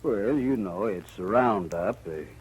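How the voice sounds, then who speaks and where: Low-voiced, worried frontier merchant. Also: male voice, expressive, a little slow, breathy edge, exaggerated voice. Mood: worried, insistent. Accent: American. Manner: earnest, hesitant, insistent. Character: worried, insistent frontier dry goods merchant. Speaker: actor in a radio western. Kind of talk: 1950s radio drama acting. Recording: noisy old 1950s radio recording.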